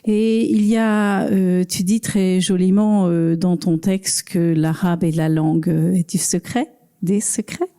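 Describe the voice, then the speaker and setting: Woman book club host, hesitant questioner, mellow warm tone. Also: lowish voice, steady tone, measured pace. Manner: hesitant, searching phrasing with many fillers.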